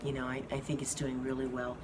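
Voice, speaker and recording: A hoarse woman giving a slow, halting update to her viewers from a hospital room. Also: a bass voice, monotone. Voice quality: slow, halting speech with many fillers. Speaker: vlogger giving a health update. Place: hospital rehab room with an air conditioning fan. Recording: noisy room with fan hum. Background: air conditioning fan.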